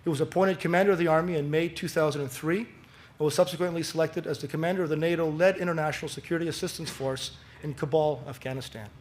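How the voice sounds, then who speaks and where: Formal, higher-voiced man introducing a guest. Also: steady tone. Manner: measured, formal delivery, rises at key phrases. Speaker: event host.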